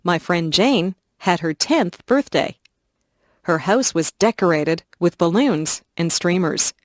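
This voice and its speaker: A woman slowly and clearly reading simple first-person stories for English learners. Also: fairly low pitch, expressive, no echo, clean studio sound. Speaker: reader of English listening stories. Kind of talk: slow scripted reading for learners.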